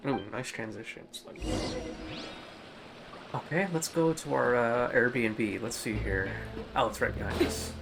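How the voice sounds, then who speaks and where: A man's voice: mid-range voice, a little slow, creaky, long pauses. A man doing casual playthrough commentary as a gaming streamer, with murmured asides and reactions. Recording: loud game music behind. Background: video game music.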